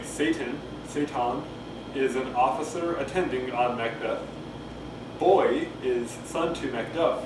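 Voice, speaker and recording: A man casually reading a play aloud to camera, rambling, hesitant and self-deprecating, with muttered asides. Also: mid-range voice. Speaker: amateur play reader. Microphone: camcorder microphone at some distance. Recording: echoey, noisy camcorder audio from far off.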